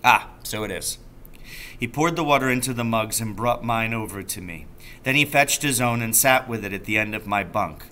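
A man's voice: lowish voice, a little fast, bright, forceful tone, slight background noise. A middle-aged man reading a storybook aloud expressively, as a teacher would, at a desk indoors. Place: small office or classroom room indoors.

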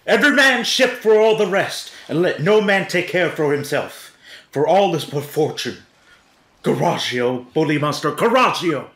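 A man playing a blustering, boisterous drunken rogue in a Shakespearean radio play, loud and swaggering. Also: a little high, animated, normal speed. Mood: rowdy bluster. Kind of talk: Shakespearean radio drama acting. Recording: roomy, echoing home recording.

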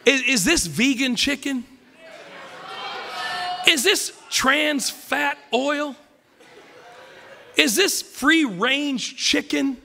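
Middle-aged guy preaching, kinda high voice, bit croaky from a cold, punchy jokey delivery. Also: expressive, drawn-out words, long pauses, sharp tone, long reverb tail, a faint crowd, slight background noise. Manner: emphatic, joking preacher with punchy lines. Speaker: pastor. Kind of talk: sermon. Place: church stage at a wooden pulpit. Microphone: podium mic.

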